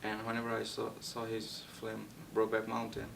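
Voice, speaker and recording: A young man from Nepal, a guest actor, answering interview questions in a halting way with many fillers and restarts. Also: monotone, gravelly. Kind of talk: casual interview. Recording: distant mic with faint rumble.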